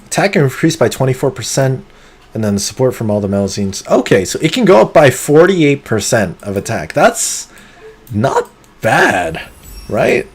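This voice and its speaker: A man streaming a game live, talking through it in an excitable, competitive way, with sudden gasps and pleading. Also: mid-range voice, normal speed, slightly rough. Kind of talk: live gameplay commentary.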